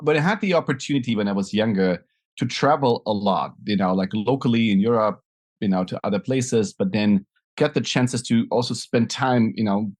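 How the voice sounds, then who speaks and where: A man's voice: lowish voice, moderate pace. A middle-aged man from Germany with a German accent, speaking thoughtfully as an interview guest with many fillers and restarts. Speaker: guest, nonprofit founder. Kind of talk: conversational interview.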